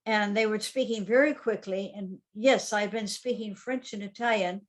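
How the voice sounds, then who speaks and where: Older woman telling stories, soft laughs. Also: medium pitch, normal speed. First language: English. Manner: reflective, anecdotal, with soft laughs and ums. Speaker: guest author. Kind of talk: conversational interview storytelling.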